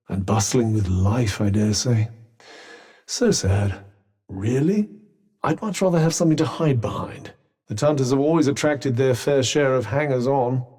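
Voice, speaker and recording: A sardonic talking cuff. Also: male voice, on the high side, dark tone, slightly rough, heavily acted. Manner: dry, wry and theatrical, with archaic phrasing. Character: sardonic, talkative magical cuff, male mentor. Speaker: voice actor. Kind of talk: game character voice lines.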